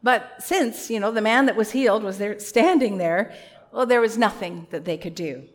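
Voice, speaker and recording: Woman preacher, loud voice, earnest and conversational, mid pitch. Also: moderate pace. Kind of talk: sermon.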